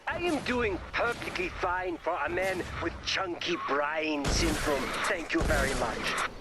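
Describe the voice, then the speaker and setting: A beleaguered, sarcastic game character. He speaks slowly and prissily in a fairly high voice, testy throughout, with clear noise behind him. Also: lively intonation. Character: testy, sarcastic, beleaguered male brain in a jar. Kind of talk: animated video game voice acting. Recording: clear background noise and ambience.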